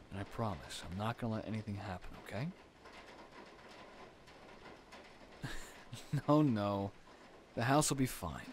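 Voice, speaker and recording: A man playing a caring, gently teasing young boyfriend in an intimate roleplay audio drama, reassuring his partner with sighs and soft talk. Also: fairly low pitch, background noise, lightly acted. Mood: soothing, reassuring.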